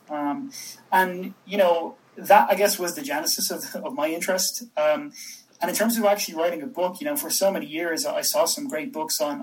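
A man from Dublin with an Irish accent, talking as an interview guest in a tentative way with frequent pauses. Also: on the high side, slight background noise, low-quality recording. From Dublin, Ireland. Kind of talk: conversational podcast interview.

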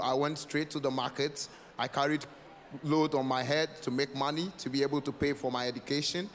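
Young ghanaian guy giving an earnest speech, mid-pitched voice, big echoey hall w some noise. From Northern Ghana. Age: 20s. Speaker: project founder, guest speaker. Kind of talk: personal speech of thanks. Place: large event hall.

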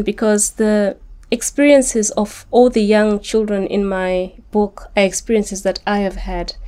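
A woman's voice: mid-range voice, mellow. A woman, an author giving earnest, articulate interview answers and often repeating words as she restarts. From Zimbabwe. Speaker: author guest.

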